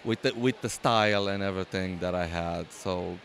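A man's voice: low-pitched, moderate pace, creaky, fairly bright tone, slight background noise. A man from Romania with a Romanian accent, talking hesitantly about his build, with many fillers and restarts, in a convention hall interview. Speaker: LEGO builder and exhibitor. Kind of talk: casual interview.

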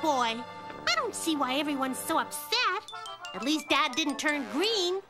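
A woman voicing a quick-witted, cheeky little girl in a children's cartoon, chirpy and matter-of-fact. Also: high-pitched, big pitch swings. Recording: music behind the voice.

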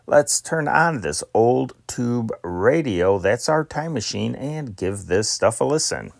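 Male host, medium pitch, very dry close recording, casual scripted intros for vintage radio. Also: animated, takes it slowly, creaky, no echo.

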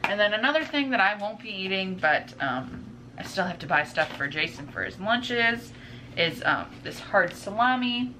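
A woman's voice: medium pitch, big pitch swings, normal speed. A woman in her twenties chatting casually to camera at home as a vlogger, rambling informally and drifting into asides. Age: 20s. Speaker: vlogger, stay-at-home mother. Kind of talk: casual chat to camera, showing groceries. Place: indoors in a home kitchen. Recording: clearly noisy home recording with room ambience.

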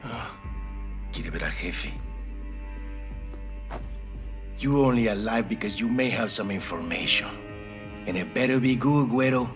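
A man's voice: fairly low pitch, expressive, faint music behind. A man playing a menacing, boastful Mexican cartel enforcer in a game, threatening in accented English and Spanish. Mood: mocking. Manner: slow, threatening, swings between quiet and loud. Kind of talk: video game villain acting.

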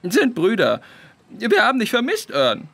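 An easygoing cartoonish puffin for a children's audio play. The voice sits high and bright, leaping around in pitch. Sentences come out clipped and blunt. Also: male voice, wildly swooping pitch, unhurried, heavily acted. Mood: cheerful, welcoming. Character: chatty, easygoing male puffin bird named Björn.

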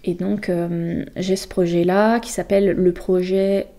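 A woman guest explaining things casually in an interview, with hesitant, halting phrasing. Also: on the low side, flat delivery, mellow. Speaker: guest presenting a volunteer project.

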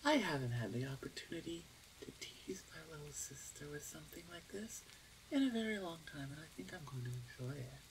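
A woman playing a teasing, smug older orc shaman sister in an ASMR roleplay, with a playful, drawn-out, self-assured delivery. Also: sits low, animated, a little hiss or hum, rough recording. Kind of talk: ASMR roleplay audio drama.

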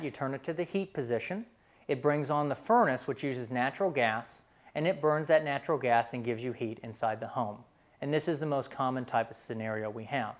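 A man in his thirties with an American accent presents a scripted explainer in a plain, instructional way. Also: medium pitch. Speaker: presenter for a home heating and cooling company.

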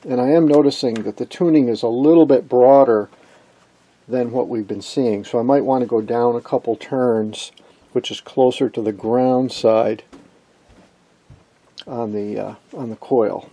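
A man presenting an unscripted technical demonstration in a halting, matter-of-fact way. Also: medium pitch, fairly even intonation, warm tone, slightly rough. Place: indoors at an electronics workbench.

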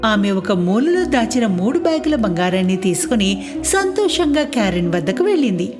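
Lively woman narrating a children's story. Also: medium pitch, normal speed, over music.

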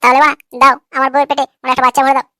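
A man voicing an irritable, foul-mouthed young husband in a comic cartoon, with a sharp, artificially raised voice and a combative manner. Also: extremely high pitch, completely flat delivery, very fast, dry recording, clean studio sound, pitched above the performer's own voice, heavily acted. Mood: defensive. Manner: combative, crude.

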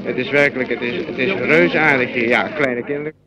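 A man's voice: mid-range voice, fairly even intonation, fairly quick. A male radio reporter improvising live 1940s outdoor commentary, repeating phrases, on a dull old recording. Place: outdoors in a crowd. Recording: dull 1940s recording, crowd noise behind. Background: crowd noise.

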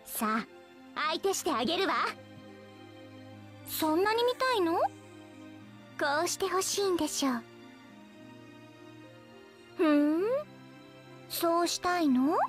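High-pitched, teasing anime girl archer. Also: expressive, slow pace, long pauses, faint music behind, heavily acted. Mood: cocky, combative. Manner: coy, teasing, theatrical. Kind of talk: Japanese anime game voice acting.

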